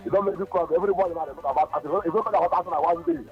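A man's voice: sits high, rapid-fire, soft background music. Nigerian man on a tinny phone line. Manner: rambling, repeats himself, excitable. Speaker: caller. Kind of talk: phone-in rant.

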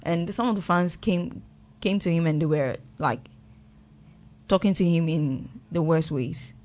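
A woman's voice: fairly low pitch, a little slow, a little mellow. A woman, co-hosting a casual chat and telling a story in a rambling way. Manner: rambling with restarts and "like".

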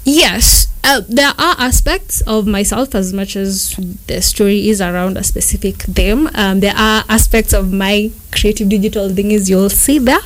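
A woman's voice: medium pitch, normal speed, hardly any pauses. A young woman from Kenya, a filmmaker guest answering interview questions in a rambling, casual way with many fillers and restarts.

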